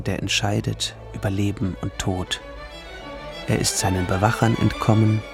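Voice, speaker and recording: Gravelly, brooding male killer narrator. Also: lowish voice. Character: brooding murderer narrating his own fate as a film scene. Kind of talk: screenplay-like dramatic narration.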